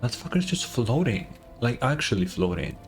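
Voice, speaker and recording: A man's voice: medium pitch, sing-song, normal speed, gravelly, faint music behind. A man doing casual live reaction commentary as a game streamer, puzzled and prone to swearing.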